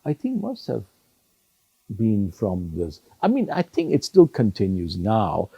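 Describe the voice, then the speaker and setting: Older man with a gravelly, husky voice. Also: fairly low pitch, huge pitch swings, warm tone, no room sound. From Hong Kong. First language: Sindhi. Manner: measured, hesitant, many fillers and restarts. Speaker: retired judge, interview guest. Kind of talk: reflective interview answers.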